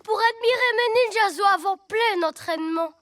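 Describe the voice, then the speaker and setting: A woman's voice: extremely high pitch, normal speed, slight background noise. A woman voicing a bossy, boastful boy ninja villain in a French cartoon, with a barking, taunting delivery. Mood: gloating. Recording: loud music behind.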